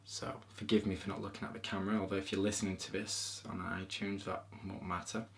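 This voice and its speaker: A man's voice: deep voice, quick pace. A man with a Yorkshire accent hosting an interview podcast, reading a playful introduction and chatting with his guest. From England. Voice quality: lively, playful storyteller. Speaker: podcast host. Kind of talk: casual interview with a read-out intro.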